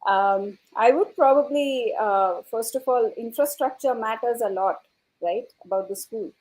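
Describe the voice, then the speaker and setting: A woman's voice: fairly high pitch, measured pace, darkish tone, rough recording. A woman speaking as an educator on a panel, explaining her ideas. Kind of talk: explanatory panel discussion talk.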